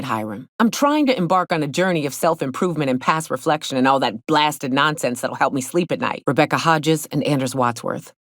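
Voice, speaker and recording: An actress playing a gruff, hard-drinking female monster hunter in a video game, sardonic and blunt, with a loose, earthy drawl. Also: extremely low pitch, no room sound, studio quality. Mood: wry, earnest. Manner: sardonic, blunt, swaggering, colloquial swearing. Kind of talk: video game character dialogue. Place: close, dry studio recording.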